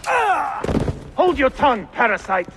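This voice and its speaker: A bullying, harsh city guard in a video game. The voice is pushed high, rough and swinging in pitch. It echoes amid clear noise. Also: male voice, huge pitch swings, a little slow, exaggerated voice. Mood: angry, threatening. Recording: reverberant, clear outdoor noise.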